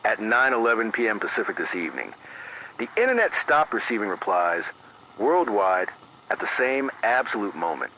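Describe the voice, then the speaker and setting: Man acting as late-night emergency broadcaster, animated intonation, measured precise wording, grave, scripted horror bulletin, AM radio filter, faint noise. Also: lowish voice. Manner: measured, formal, deliberate, precise. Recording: dull band-limited AM radio, faint noise.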